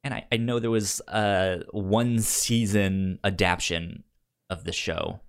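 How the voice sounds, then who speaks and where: A man chatting casually as a podcast co-host, halting, with a stammer that repeats sounds and syllables. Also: on the low side, steady tone, clean tone. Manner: halting, conversational. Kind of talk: casual podcast chat recommending comics.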